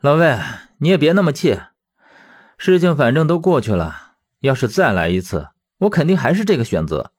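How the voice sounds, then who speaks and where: A man's voice: mid-range voice, expressive, normal speed, pauses often, darkish tone, close to an everyday voice. A man voicing a principled, put-upon schoolteacher in his twenties for an audiobook, resigned and placating in manner. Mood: consoling. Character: resigned, principled 27-year-old male schoolteacher, kind but put-upon.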